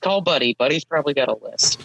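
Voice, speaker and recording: Gravelly-voiced man chatting casually. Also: fairly high pitch, lively intonation, quick pace, a bit bright, poor audio. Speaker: podcast guest, drift driver. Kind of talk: casual chat.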